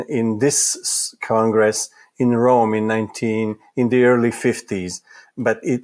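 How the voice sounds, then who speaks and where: A man from Italy speaks, with Italian as his first language. His voice sits at a middling pitch for a man. He gives careful, measured interview answers and often stalls on repeated words before carrying on. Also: darkish tone. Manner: halting, with many repeated words and restarts. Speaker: psychoanalyst guest.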